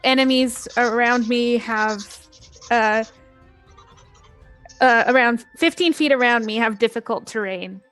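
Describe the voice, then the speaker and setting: Woman, tabletop game player, fairly high voice, casual chat, soft music behind. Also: unhurried, long pauses.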